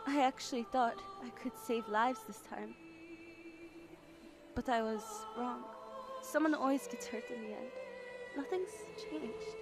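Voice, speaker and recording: Very high-voiced earnest female operative. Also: expressive. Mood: weary, defeated. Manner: earnest, emotional, unhurried. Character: idealistic, compassionate young female operative, burdened by guilt. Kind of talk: radio drama acting. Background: background music.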